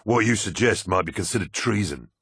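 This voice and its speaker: Guy acting as a serious stern soldier in a story reading, insistent, low rough voice, forceful. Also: expressive, fairly quick, dry recording, clean studio sound, full character voice. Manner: insistent, reasoning. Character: solemn, argumentative male soldier, practical and stern.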